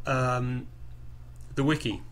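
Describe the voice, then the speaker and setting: A man informally presenting to a group on a conference call, haltingly and with repeated words and phrases. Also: mid-range voice, level tone, a bit bright. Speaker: project coordinator leading a group call. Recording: clearly noisy conference call recording.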